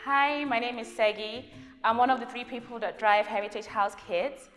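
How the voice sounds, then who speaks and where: A woman's voice: on the high side, fairly even intonation, normal speed, fairly bright tone, in a large hall, faint music behind. A woman introducing her children's group in a casual way to camera. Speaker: organiser of a children's heritage group.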